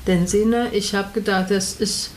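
Woman host, Chinese accent, mid pitch, faint background noise, speaks broken but lively German. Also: unhurried, low-quality recording. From Taiwan. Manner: broken German, animated, laughs often. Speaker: radio show host, interviewee. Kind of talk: casual interview chat.

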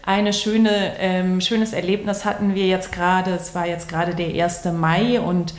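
A woman's voice: lowish voice, level tone, measured pace. A middle-aged woman pastor from Bavaria answers interview questions in fluent standard German, explaining at length. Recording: echoey room.

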